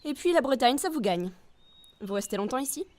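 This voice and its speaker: A woman's voice: sits high, animated, a little fast, darkish tone. An actress playing a poised, formally polite woman with an air of intrigue, in an audio drama. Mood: polite, breezy.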